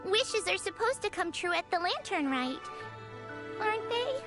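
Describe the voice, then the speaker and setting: A small, innocent girl, speaks high and slowly. She sounds earnest and wistful in an anime game style, with music behind her. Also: very animated, exaggerated voice. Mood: wistful, hopeful.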